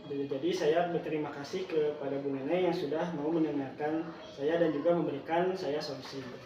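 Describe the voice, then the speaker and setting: Echoey, distant, higher-pitched hesitant man. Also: fairly even intonation, fairly quick, muffled recording, music in the background. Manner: hesitant, polite, deferential. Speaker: teacher being coached. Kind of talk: role-play coaching conversation, answering questions. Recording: very echoey room, distant mic, noisy.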